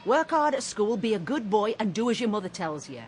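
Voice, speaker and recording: A woman's voice: mid-range voice, sing-song, a little fast, soft background music, natural voice. An actress playing an anxious, doting and scolding mother in a radio drama. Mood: scolding, coaxing.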